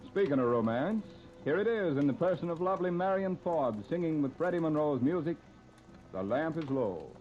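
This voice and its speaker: An old, lo-fi radio recording from the 1930s carries a man's somewhat high voice. He has an American accent and reads announcements and ad copy with formal, polished studio diction. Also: animated, normal speed, over music. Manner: formal, polished scripted delivery. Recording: dull, lo-fi 1930s radio transcription disc.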